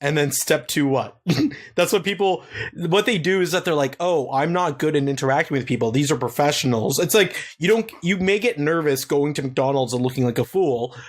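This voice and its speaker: A man's voice: a little high, moderate pace. A young man from Canada hosting a podcast, talking in a rambling, crude, casual way and laughing often. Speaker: podcast host.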